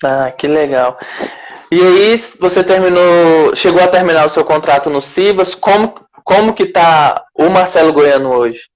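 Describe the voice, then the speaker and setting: A man hosting a casual live-stream interview, friendly and quick to laugh. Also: on the high side, rough recording.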